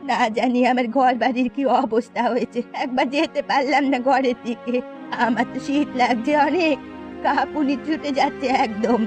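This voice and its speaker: A woman's voice: sits high, normal speed, over music, lightly acted. A woman voicing an old village grandmother, fretful and complaining, in a fairy tale cartoon. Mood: worried.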